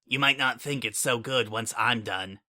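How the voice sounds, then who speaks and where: A man's voice: medium pitch, moderate pace, sharp tone. A man voicing a brash, smug female pony spy in a fan audiobook reading, speaking in a flippant, mocking way.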